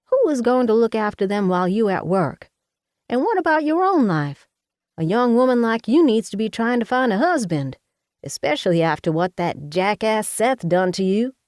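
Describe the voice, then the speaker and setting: Woman doing a loud mama voice, high and fussy, scolding, folksy, dry recording. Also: very animated, normal speed, no echo. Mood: fussing. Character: fussy, outspoken older Southern mother.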